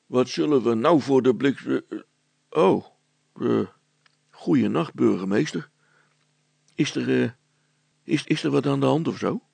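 A man's voice: mid-range voice, expressive, unhurried, vocal fry, long pauses, a little mellow, breathy edge, dry recording, pitched above the performer's own voice, full character voice. A man voicing a deferential village butcher, hesitant and stammering, in a children's story. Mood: startled, stammering.

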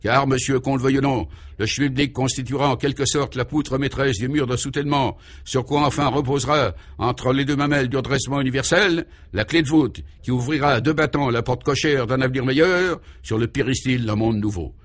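A man's voice: medium pitch, brisk, few pauses, natural voice. A man acts out a pompous scientist in a comic radio serial. He lectures in solemn, absurd jargon with grand, emphatic oratory, exalted by his own invention. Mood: exalted, grandiose.

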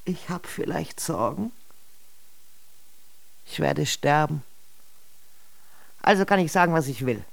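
An actress playing a limp, morbid, imperious queen in a radio drama, swinging between theatrical lament and hushed threats. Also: low-pitched, lively intonation, takes it slowly, vocal fry, pauses often, dry recording, full character voice. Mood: morbid, whiny. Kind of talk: German radio drama acting.

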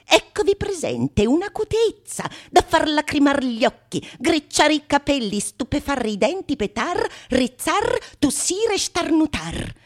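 A woman actor performing a theatrical monologue in a declamatory manner, with dramatic pauses and relish for long lists of words. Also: fairly high pitch, a little mellow. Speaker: solo stage actress. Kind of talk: theatrical monologue of a Renaissance comedy.

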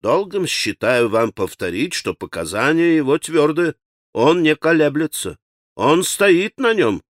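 A man voicing a stern, methodical prosecutor in an audiobook, questioning a suspect in a formal, measured, insistent manner. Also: a little high, sing-song, dry recording, studio quality. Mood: firm, insistent. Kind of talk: dramatic audiobook character acting.

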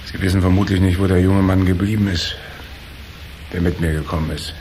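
An actor playing a world-weary, hard-boiled captain in a German radio drama, terse, dry and sardonic. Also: male voice, low voice, fairly even intonation, brisk, a little mellow, a little hiss or hum, close to an everyday voice.

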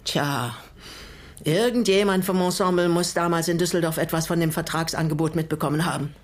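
An actress plays an older woman in a naturalistic radio crime drama. She speaks in a measured, composed way. Bitterness shows in her pointed rhetorical questions. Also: on the low side, steady tone, lightly acted. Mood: pointed, grave. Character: composed, bitter older widow, former political prisoner. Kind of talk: naturalistic German radio play acting.

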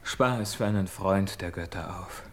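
A man's voice: sits low, moderate pace. An actor playing a guilt-tormented, haunted man in a classical verse radio drama, with brooding, anguished theatrical declamation. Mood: bleak, bitter.

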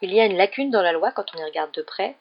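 A woman's voice: medium pitch, expressive, fast, gravelly, breathy edge, dull audio. A woman voicing a weary middle-aged wizard father in a storybook, enthusiastic but sheepish, rambling and stammering when cornered. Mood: sheepish, evasive.

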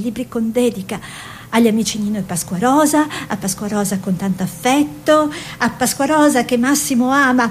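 High-voiced actress as a tender, anguished woman painter. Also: close to an everyday voice. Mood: lyrical, wistful. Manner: deliberate, lyrical phrasing with short repeated sentences. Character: Italian woman painter and model, tender, anguished young mother. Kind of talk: literary stage-style radio drama monologue and dialogue. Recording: faint background noise with low rumble.

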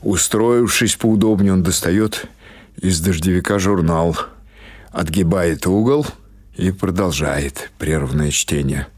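A man's voice: deep voice, takes it slowly, darkish tone, dry recording. A man reading literary prose as a scripted audiobook narration.

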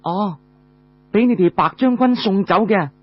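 A man voicing a bold, defiant young warrior woman in an audiobook, brisk and commanding. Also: high voice, breathy edge, no room sound, lo-fi sound, pitched above the performer's own voice.

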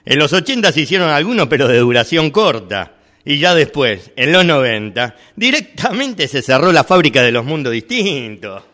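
A man's voice: on the high side, big pitch swings, moderate pace, pushed and bright. A man playing a weary shopkeeper who sells worlds, speaking in an amateur radio drama rehearsal. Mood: matter-of-fact. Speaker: workshop radio drama actor.

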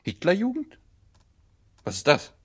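An actress playing a brash, cheeky, ignorant local who teases and mocks playfully in a drama. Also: female voice, lowish voice, huge pitch swings, a little slow, breathy edge, heavily acted. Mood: teasing. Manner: boisterous, teasing. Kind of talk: stage-style drama acting.

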